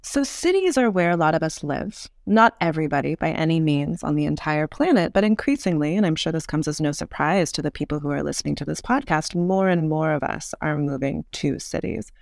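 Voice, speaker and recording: A woman speaking as an interview guest, explaining things in an articulate, measured way. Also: low voice, expressive, vocal fry, a little mellow, no echo, clean studio sound. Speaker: podcast guest, urban designer.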